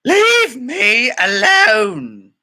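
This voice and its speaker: A man voicing a grumpy old grandmother in a storybook, shouting in drawn-out exasperation. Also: extremely high pitch, huge pitch swings, pitched above the performer's own voice, exaggerated voice. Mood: exasperated.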